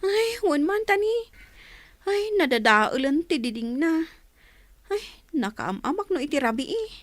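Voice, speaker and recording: A woman's voice: high voice, sing-song, measured pace, no echo. A woman acting a bashful, worried young daughter with an Ilocano accent in a radio comedy drama.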